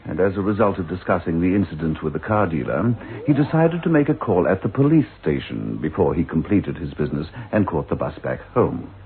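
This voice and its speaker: A man's voice: deep voice, animated, fairly quick, slight background noise. A man narrating a scripted radio drama as a wry storyteller. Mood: measured. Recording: tinny old radio recording.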